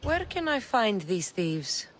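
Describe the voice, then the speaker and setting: A woman's voice: mid-range voice, very animated, slow pace, slight background noise. An actress playing a bold, self-assured female mercenary of ancient Greece in a video game, direct and confident. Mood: direct, businesslike. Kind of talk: dramatic video game dialogue.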